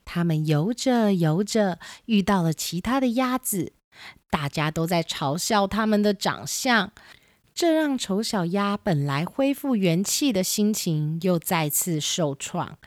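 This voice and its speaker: A woman's voice: mid-range voice, animated, unhurried, no echo, studio quality. A woman reading scripted narration for a children's storybook tale. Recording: music behind the voice.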